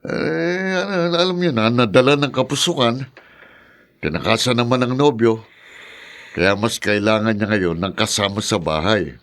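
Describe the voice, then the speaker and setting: A man's voice: medium pitch, animated, normal speed, fairly bright tone. An actor playing a bitter, domineering male guardian in a radio drama, with a stubborn, emphatic manner. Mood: evasive, reluctant. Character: Gardo, bitter, domineering, resentful guardian man. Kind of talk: Tagalog radio drama acting.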